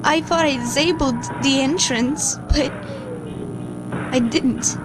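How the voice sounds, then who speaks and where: Woman acting a high-voiced, guilt-ridden scientist over music. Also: low-quality recording. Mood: shaken, regretful. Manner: halting, stammering, anxious. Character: shaken, guilt-ridden woman scientist recording a lab log. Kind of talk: audio log monologue in an analog horror series.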